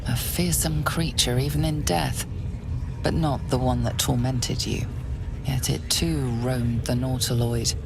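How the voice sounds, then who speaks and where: A woman playing a solemn, ominous storyteller, narrating a dark fantasy game in a measured, hushed, dramatic manner. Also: rumbling low, fairly even intonation, gravelly, background noise, heavily acted. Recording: music under the voice. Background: music.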